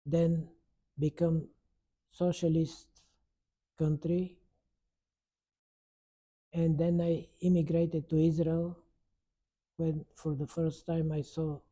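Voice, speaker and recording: Elderly man in his 90s, dark husky timbre, slow earnest testimony with pauses. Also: a little high, little pitch movement. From Bulgaria. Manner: halting, searching for words, earnest. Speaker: Holocaust survivor interviewee. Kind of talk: oral history interview.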